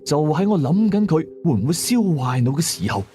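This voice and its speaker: 一個香港粵語口音嘅男人，用第一身、口語化又戲劇性嘅方式講廣播鬼古。. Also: male voice, a little high, sing-song, fairly quick, dark tone, soft background music. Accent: Hong Kong Cantonese. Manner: dramatic, colloquial. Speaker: radio drama narrator. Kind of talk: first-person ghost story narration.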